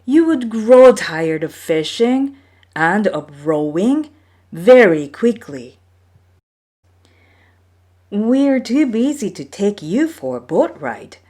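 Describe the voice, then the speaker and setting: A woman's voice: medium pitch, very animated, slow, pauses often, darkish tone, voice pushed up. A woman voicing the scornful, exasperated older brothers in a storybook folktale, scoffing and dismissive.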